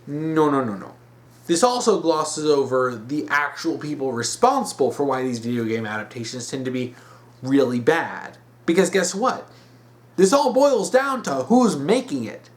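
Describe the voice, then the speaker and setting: A man's voice: a little high, animated, normal speed. Blunt, emphatic man giving opinionated commentary. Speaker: host of an opinion series.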